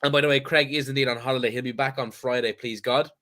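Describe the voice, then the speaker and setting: A man's voice: medium pitch, steady tone, fast, sharp tone. An Irish man co-hosting a casual football podcast, teasing his co-host and rambling through his opinions with frequent restarts. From Ireland. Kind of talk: casual banter and football chat.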